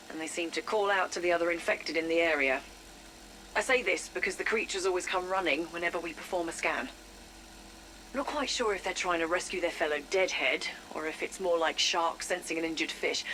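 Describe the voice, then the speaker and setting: A woman's voice: fairly low pitch, bright, forceful tone, a little hiss or hum, rough recording. A woman playing a dry, precise, wry research scientist recording an audio log in a game. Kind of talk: acted audio log briefing.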